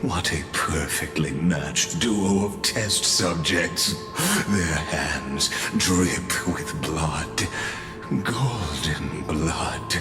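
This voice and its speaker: A sinister, theatrical overseer from a video game, speaks in a bottomless low register. He is disdainful and deliberate, with the voice ringing through a long hall reverb over clear music. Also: heavily acted. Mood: scornful, mocking. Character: sinister, mocking male overseer watching doomed heroes. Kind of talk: video game dramatic monologue.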